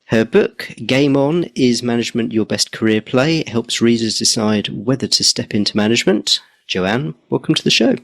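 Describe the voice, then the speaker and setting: A man hosting a career podcast as a coach, reading a scripted intro and then asking interview questions in a measured, politely dry way. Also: medium pitch, gravelly, no room sound.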